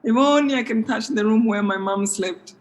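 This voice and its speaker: A woman with a Rwandan accent talks on a video call. She speaks in a halting, heartfelt way and often restarts her phrases. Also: on the high side. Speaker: guest, the author whose story the play tells. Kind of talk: emotional talkback chat.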